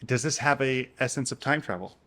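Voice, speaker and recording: A man's voice: medium pitch, a little fast, fairly bright tone. Wry, teasing man bantering casually. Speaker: co-host of a book channel. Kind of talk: casual playful banter, book guessing game.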